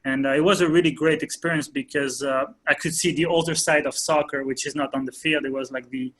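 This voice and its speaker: Guy in his thirties, soccer coach, lots of uhs and restarts, voice a bit on the high side. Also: fairly even intonation, a little fast, a bit bright, slight background noise. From France. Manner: hesitant, many fillers and restarts. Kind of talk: interview answers.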